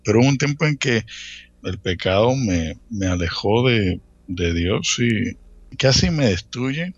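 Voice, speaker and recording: A man in his late thirties with a Dominican accent, telling his own story casually as an interview guest, often pausing and repeating words. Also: lowish voice, expressive, breathy edge, dry recording, lo-fi sound. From Dominican Republic. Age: late 30s. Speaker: interview guest, church ministry worker.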